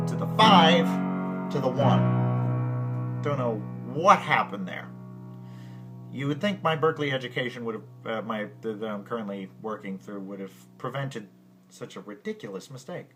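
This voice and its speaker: A man hosting a music lesson podcast who keeps stumbling over his words, laughing at himself and muttering curses under his breath. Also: medium pitch. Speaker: music podcast host teaching at the piano. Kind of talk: casual music lesson outtakes. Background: his own piano or guitar playing.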